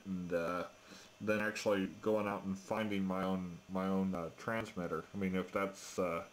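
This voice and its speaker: Low-voiced man on a slightly noisy radio. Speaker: amateur radio operator. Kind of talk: casual ham radio chat. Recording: noisy amateur radio transmission.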